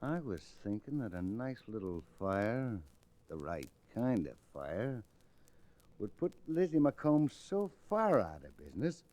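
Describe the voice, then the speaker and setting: An actor playing a smug, scheming cattle boss villain with an American accent in a 1940s radio western. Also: male voice, lowish voice, sing-song, measured pace, dark tone. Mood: sly menace. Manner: smug, conniving.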